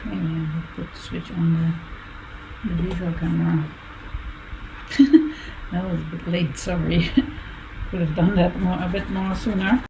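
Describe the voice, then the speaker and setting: A woman with a Dutch accent talks to her viewers as she works on a drawing. She speaks slowly in a slightly low voice, pausing and correcting herself as she thinks aloud. Steady background noise sits under her voice. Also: vocal fry. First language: Limburgish. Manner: halting, musing aloud, with self-corrections and soft laughs. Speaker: artist. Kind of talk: casual talk while drawing.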